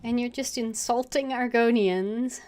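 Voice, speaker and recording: Slow-talking woman streamer over clear noise. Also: a little high, fairly even intonation. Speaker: game streamer. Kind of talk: casual livestream commentary. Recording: clearly noisy with game ambience.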